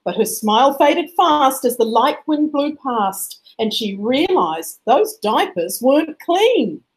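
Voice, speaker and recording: A woman reading a rhyming children's picture book aloud as scripted narration, expressive and rhythmic. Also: high-pitched, slow pace, rough recording. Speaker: storybook reader.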